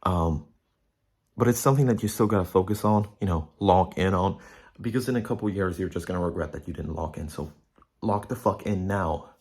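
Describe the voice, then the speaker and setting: Young man, deep low voice, blunt, rambling vlog talk. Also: brisk, a little mellow. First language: Polish. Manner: rambling, blunt, swears freely. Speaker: vlogger. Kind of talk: casual monologue to camera.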